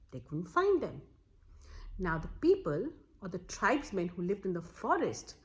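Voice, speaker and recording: A woman telling a folktale aloud to children as host, in a dramatic way that repeats words for emphasis. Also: mid-range voice. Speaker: storyteller and host.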